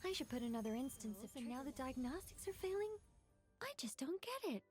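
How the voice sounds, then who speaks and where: A high-voiced, meticulous mage. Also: female voice, very animated, fairly quick, a little mellow, faint music behind. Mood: fretful. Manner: precise, explanatory. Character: earnest, meticulous female mage, a fretful perfectionist. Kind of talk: video game character acting.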